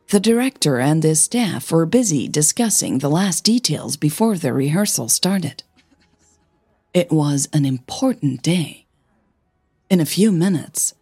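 Female fiction podcast narrator, slow and deliberate, slightly breathy, husky delivery over music. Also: sits low, mellow, no room sound, studio quality. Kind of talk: scripted audio fiction narration.

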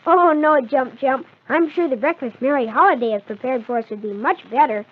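A kindly boy, speaks in a high, eager voice. The delivery is that of an old children's radio play, heard through a tinny, narrow recording. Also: brisk. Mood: friendly.

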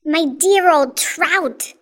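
A woman's voice: high-pitched, lively intonation, slow pace, full character voice. A woman voicing a vain, bossy, nasty aunt from a children's storybook, theatrical in her gushing and scolding. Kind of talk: children's storybook character reading.

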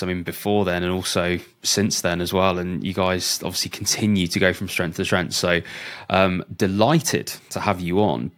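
A man hosting a health tech podcast, introducing his guest in a chatty, enthusiastic way with frequent ums and restarts. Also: deep voice. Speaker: podcast host.

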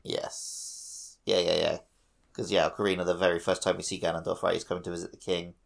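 A man's voice: low voice, little pitch movement, fairly quick, vocal fry. A man hosting a podcast, casually discussing a game in an informal, explanatory way. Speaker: podcast host.

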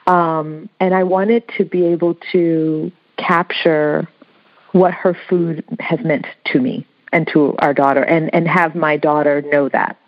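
Woman, lower voice, reflective author guest, lo-fi sound. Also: fairly even intonation. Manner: halting, repeats words while searching for them. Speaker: author being interviewed. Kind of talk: reflective conversation. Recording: dull lo-fi audio.

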